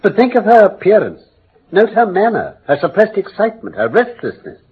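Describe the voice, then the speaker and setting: An actor playing a brilliant, commanding English detective in classic radio drama, speaking with precise, formal, emphatic diction. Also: male voice, high-pitched, very animated, fairly quick. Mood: analytical, animated. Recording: muffled old radio broadcast.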